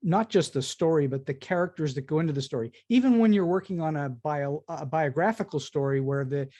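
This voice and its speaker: A man's voice: a little high, brisk, dark tone. An American man asking questions as a podcast host in a conversational interview style.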